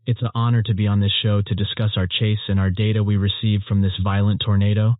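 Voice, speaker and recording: Narrow, phone-like sound with no room to it frames a lowish male voice. A man plays an earnest storm chaser, giving measured, scripted interview answers. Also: little pitch movement, no room sound, lightly acted. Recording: muffled narrowband phone-like audio.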